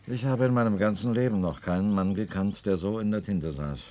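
Male actor as gloomy attorney, radio play, lower pitch, blunt, lecturing, faint noise behind. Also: fairly quick, natural voice. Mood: resigned. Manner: matter-of-fact, lecturing, bluntly discouraging. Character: frank, pessimistic male lawyer advising a friend. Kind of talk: German radio play acting.

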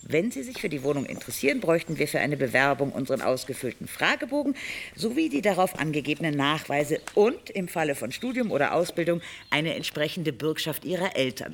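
An actor playing a brisk, businesslike letting agent in a radio drama, reciting with dry humour. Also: mid-range voice. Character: brisk, wry letting agent showing a flat. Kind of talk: scripted radio drama dialogue.

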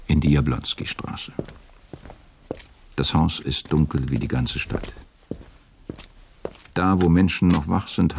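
A man narrating a radio drama from a script in a measured, sober manner. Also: very deep voice, creaky, a little mellow, a little hiss or hum.

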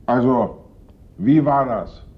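An actor playing a brusque, sceptical police inspector who questions curtly in a German radio play. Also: male voice, medium pitch, takes it slowly, narrow, tinny audio, close to an everyday voice. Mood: probing. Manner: curt, probing, accusatory.

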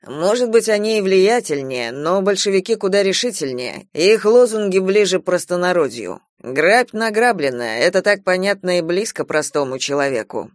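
Man as an urgent alarmist gentleman, higher voice. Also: expressive, normal speed, hardly any pauses, no room sound, clean studio sound. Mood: ominous warning.

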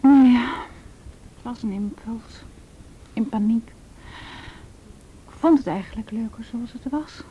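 Female fugitive activist, played by an actress, Netherlands Dutch, hesitant, confiding, soft half-whisper, mellow tone, lightly noisy radio play. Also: dull audio, natural voice. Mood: uneasy. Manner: halting, searching for words. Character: hesitant, introspective, uneasy female activist in hiding. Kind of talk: intimate radio drama acting. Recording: noisy radio drama recording, low rumble.

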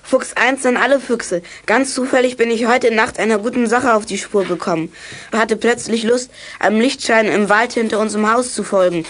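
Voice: mid-range voice, moderate pace, a bit bright, close to an everyday voice. A young performer playing a plucky, boastful boy detective in a German children's radio play from the 1980s. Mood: excited.

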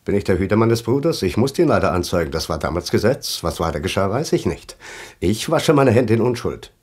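An actor playing a grave, bitter survivor back in Vienna in a German radio play, speaking in a deliberate, probing way. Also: male voice, lowish voice, sing-song, a little mellow, slightly rough. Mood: bitter, accusing. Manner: deliberate, brooding, probing questions.

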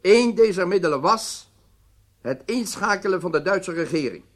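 Stern president in a radio drama, highish male voice, formal n deliberate, defends himself firmly. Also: expressive. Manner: formal, deliberate, emphatic phrasing. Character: stern authoritative male head of state, measured and defensive.

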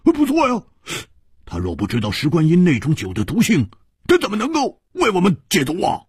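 A man plays a blunt, hot-tempered martial fighter in a wuxia audiobook. He is gruff and emphatic, with a husky voice and huge leaps in pitch. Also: wildly swooping pitch, fairly quick, a little mellow, no echo, clean studio sound, exaggerated voice. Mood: astonished.

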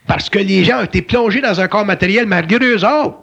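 A man's voice: high voice, fairly quick, creaky, a bit bright, slightly rough, lo-fi sound. A man with a Québécois French accent, giving emphatic, colloquial spiritual talk in a philosophical conversation. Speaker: spiritual speaker.

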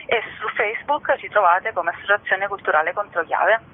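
A man's voice: high voice, normal speed. A man being interviewed on the radio over a telephone, explaining things informally as an event organiser. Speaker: festival organiser, interview guest. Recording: narrow telephone-band sound.

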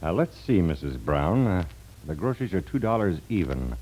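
An actor playing an ordinary, helpful neighbourhood grocer in a 1940s radio advertisement. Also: male voice, sits low, very animated, normal speed, mellow, breathy edge, full character voice. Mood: businesslike. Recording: noisy 1940s radio broadcast recording.

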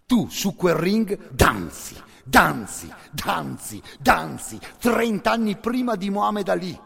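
Male monologist for radio theatre: husky, intense storyteller, very wide swings in pitch, long hall reverb. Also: very animated, slow pace. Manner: intense, theatrical storyteller, swelling from hushed to forceful.

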